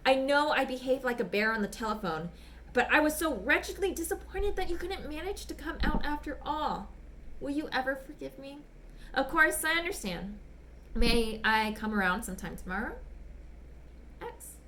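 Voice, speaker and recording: A woman voicing a smitten, bashful gentleman writing polite, apologetic, ardent love letters in a dramatic reading. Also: sits high, animated, normal speed, slight background noise. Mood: contrite. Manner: polite, apologetic, ardent, old-fashioned phrasing. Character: smitten, bashful, gushing gentleman letter writer back from China.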